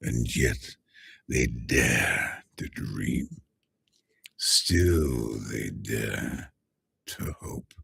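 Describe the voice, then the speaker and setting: A man voicing an ancient death-like entity who scorns frail humans, speaking in slow, hushed, savoring whispers. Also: rumbling low, animated, creaky, husky, dry recording, pitched below the performer's own voice, heavily acted. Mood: gloating menace. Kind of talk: dark villain monologue.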